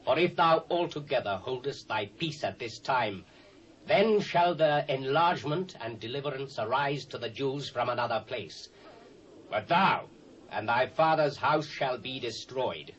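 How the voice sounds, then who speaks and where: A solemn British elder in a scripture audio drama. He warns sternly and deliberately at a middle pitch, on a dull old cassette. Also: lively intonation, fairly bright tone. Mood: stern warning.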